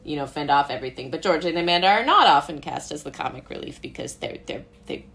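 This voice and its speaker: Low-voiced young woman, chatty and goofy. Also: animated, quick pace, vocal fry, fairly bright tone. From Brooklyn, New York. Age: young adult. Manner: self-described goofy, chatty with frequent "like". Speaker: interview guest, playwright and actor. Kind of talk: casual interview chat.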